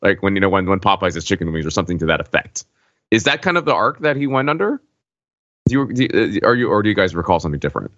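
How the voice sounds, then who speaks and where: A man's voice: low-pitched, sing-song, racing, a bit bright, dull audio. A man with an American accent co-hosting a podcast, talking through details analytically and checking them with the others. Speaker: podcast host. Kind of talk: casual analytical chat.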